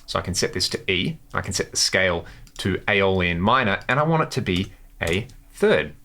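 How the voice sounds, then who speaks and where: Bright-voiced man giving a tutorial. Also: sits low, moderate pace, slight background noise. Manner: conversational, explains step by step. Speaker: guitar tone tutorial host. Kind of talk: instructional demo, casual explanation.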